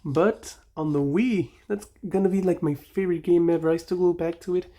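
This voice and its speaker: A man's voice: high-pitched, fairly even intonation, moderate pace, mellow. A young man with a Mexican accent, chatting casually and nostalgically while playing a game, often correcting himself mid-sentence. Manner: rambling, nostalgic, self-correcting. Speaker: gaming channel host.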